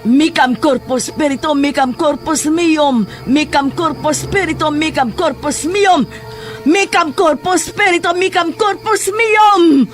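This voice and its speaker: A man's voice: extremely high pitch, takes it slowly, faint music behind. A man with a Filipino accent playing a desperate occultist in a horror audio drama, with an intense, incantatory delivery. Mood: rising incantation. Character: desperate, obsessive male occultist chanting dark incantations.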